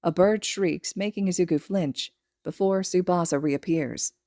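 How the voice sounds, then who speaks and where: A woman's voice: on the low side, a little slow, warm tone, no echo, clean studio sound. A woman reading a story aloud as scripted audiobook narration. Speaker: podfic narrator.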